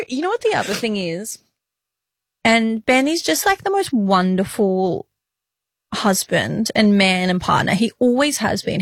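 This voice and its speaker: A woman, talking frankly and earnestly as a podcast co-host, quick to laugh. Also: medium pitch, no room sound, studio quality. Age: 30s. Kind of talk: candid casual chat.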